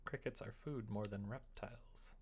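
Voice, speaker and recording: A man's voice: on the low side, steady tone, moderate pace, darkish tone, husky. Casual, rambling man chatting on a livestream. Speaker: live streamer. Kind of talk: casual chat with stream viewers, reading out their comments.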